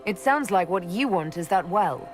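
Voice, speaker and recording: A woman's voice: on the low side, animated, moderate pace, faint music behind, close to an everyday voice. An actress playing a blunt, skeptical woman adventurer in a fantasy game, talking in a plain, wry way.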